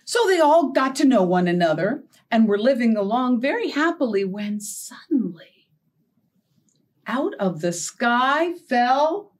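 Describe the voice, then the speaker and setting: Children's storyteller: middle-aged American woman, very lively swooping intonation, unhurried delivery for little kids. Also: a little high. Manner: animated, encouraging, addresses young children with questions. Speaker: children's librarian leading storytime. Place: indoor storytime set with backdrop and felt board.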